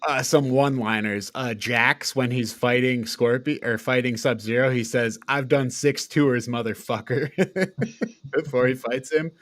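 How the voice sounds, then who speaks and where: A man's voice: mid-range voice, fairly even intonation, a little slow, a bit bright, dry recording. A man co-hosting a casual film review chat, informal and given to swearing and laughing. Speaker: podcast co-host.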